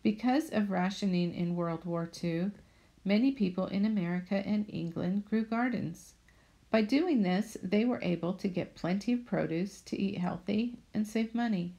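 A woman narrating a voice-over about her home garden in a steady, plainly read manner. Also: medium pitch, moderate pace, vocal fry. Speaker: budget vlogger.

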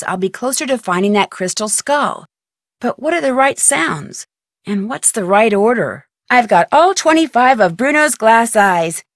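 A woman's voice: fairly high pitch, dry recording. A woman voicing a young amateur detective in a studio video game, talking in a chatty, curious way and often asking for hints. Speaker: game protagonist.

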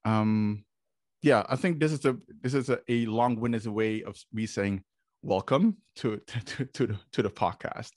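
A man hosts a podcast over a video call and asks questions in a loose, rambling way full of fillers. His voice sits on the low side. The sound is close and dry. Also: unhurried, a little mellow, dry recording. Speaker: podcast host. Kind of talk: casual video-call interview. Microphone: video call, desk mic.

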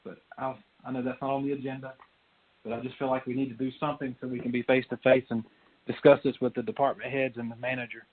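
A man of average pitch addresses a council meeting as a member. His delivery is flat, and the phone line makes him sound thin. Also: quick pace. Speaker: town councilman. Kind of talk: formal meeting discussion. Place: conference call. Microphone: telephone. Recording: tinny narrow-band phone line.

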